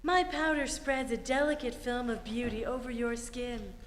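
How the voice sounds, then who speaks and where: High-pitched genteel radio beauty expert played by a woman. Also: moderate pace, lightly acted. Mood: persuasive, genteel. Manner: polished, persuasive. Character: genteel lady beauty expert selling face powder. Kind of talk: 1940s radio advertisement. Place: theatre stage. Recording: echoey hall, live stage recording.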